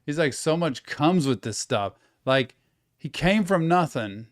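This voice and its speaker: A man hosting a music reaction, slightly hoarse from losing his voice, eagerly repeating lines back and affirming them. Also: a little high, animated, a little slow, no room sound, clean studio sound. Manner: enthusiastic, repeats lyrics back and affirms with yeps. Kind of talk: casual music reaction commentary.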